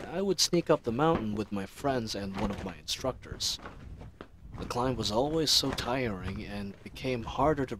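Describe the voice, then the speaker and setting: A man's voice: on the low side, a bit bright. Man acting an earnest fantasy knight captain, ambient noise. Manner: reflective, rambling, earnest, playfully indignant. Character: earnest, chatty young male knight captain, idealistic and teasing. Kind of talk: fantasy audio roleplay drama monologue. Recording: noisy ambient sound effects bed. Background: added outdoor ambience sound effects.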